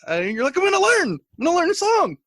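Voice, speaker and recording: A man co-hosting a comedy podcast with casual, teasing banter and animated storytelling. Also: extremely high pitch, very fast, no room sound, clean studio sound. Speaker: guest co-host of comedy podcast.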